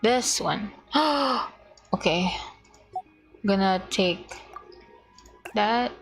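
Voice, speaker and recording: A woman's voice: medium pitch, expressive, very slow, faint music behind. A woman livestreaming a video game, chatting casually and muttering to herself in short fragments as she thinks aloud. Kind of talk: casual gaming stream chat, thinking aloud.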